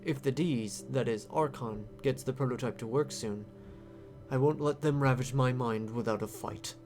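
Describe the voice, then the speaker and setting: A guarded, calculating man from a visual novel, read out by a male player. He bargains in a coolly casual, pressing way at a middling pitch, with faint music underneath. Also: moderate pace, a little mellow, lightly acted. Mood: defiant, guarded.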